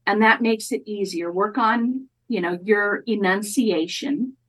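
Woman college teacher, slow measured talk, lots of little restarts. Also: mid-range voice, a bit bright, low-quality recording. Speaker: community college educator, guest. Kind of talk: thoughtful podcast interview talk.

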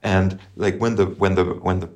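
A middle-aged man co-hosting a podcast, talking through music in a thoughtful, halting way and humming phrases to make his point. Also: sits low, flat delivery. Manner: thoughtful, halting, hums melodies to illustrate. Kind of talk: casual music discussion.

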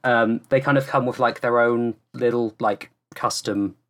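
A man's voice: mid-range voice, fairly even intonation, measured pace, a bit bright. A man guesting on a podcast, explaining things in a loose, rambling, casual way. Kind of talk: casual chat.

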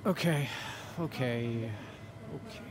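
An actor playing an awkward, wounded young man in a naturalistic audio drama, halting and hesitant between deadpan jokes. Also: mid-range voice, noisy background. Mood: hurt, halting. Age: young adult. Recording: loud music behind the voice.

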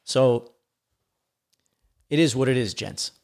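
A man's voice: mid-range voice, slow pace, vocal fry, a little mellow. Man, middle-aged, podcast host, casual chat, laid-back, swears freely, self-mocking.

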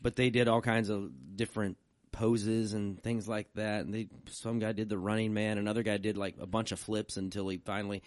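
A man co-hosting a casual podcast in a live stream room, joking and telling quick stories. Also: on the low side, level tone, no echo, clean studio sound. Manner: jokey, storytelling, quick asides. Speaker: podcast co-host.

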